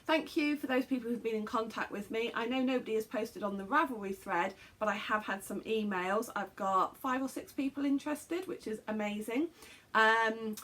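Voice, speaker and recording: A woman's voice: fairly high pitch, normal speed, fairly bright tone. Chatty English woman rambling to camera. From England. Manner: chatty, rambling with many fillers and self-corrections. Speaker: podcast host. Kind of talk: casual chat to camera. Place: small bedroom craft room, indoors.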